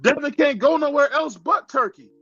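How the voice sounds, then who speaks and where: High-voiced man hosting a heated debate. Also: normal speed, fairly bright tone, dull audio. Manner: keeps cutting in with repeated "hold on". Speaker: show host. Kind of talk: heated live-stream debate.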